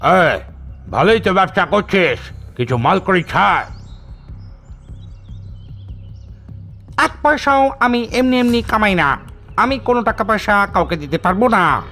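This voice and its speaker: A man's voice: sits high, very animated, moderate pace, sharp tone, soft background music, exaggerated voice. A man voicing a boastful male ghost tea seller who talks in a sing-song way and drops gibberish syllables. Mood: excited. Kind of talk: cartoon voice acting.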